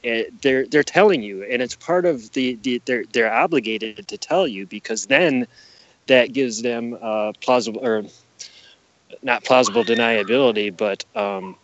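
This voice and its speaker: A man's voice: medium pitch, animated, unhurried, muffled recording. A man speaking as an interview guest, explaining things casually and emphatically, often repeating his phrases. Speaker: podcast guest.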